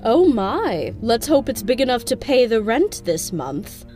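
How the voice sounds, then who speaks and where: A woman's voice: a little high, big pitch swings, measured pace, warm tone, soft background music. A woman playing a fussy, motherly landlady pony in an audio drama, blunt, chiding and protective. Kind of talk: scripted audio drama acting.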